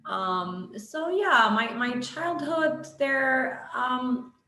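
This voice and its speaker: A woman's voice: high-pitched, expressive, very slow. Lebanese-accented woman in an echoey room. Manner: warm, reflective storytelling with small laughs. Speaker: guest, minister in training. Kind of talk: casual webinar conversation. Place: home, video call. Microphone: video call mic. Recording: echoey room over a video call.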